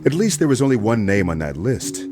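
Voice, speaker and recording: A man's voice: lowish voice, sing-song, fairly quick, over music. An actor playing a wry, wisecracking hard-boiled male detective in a noir radio play, both narrating and in dialogue. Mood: brooding, suspicious.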